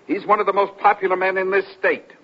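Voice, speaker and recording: An actor playing a smug, quietly menacing official in a suspense radio drama, formal and controlled, on a muffled hissy broadcast. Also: male voice, high-pitched, level tone, fast talker. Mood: dismissive. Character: smooth, scheming male official, quietly menacing conspirator.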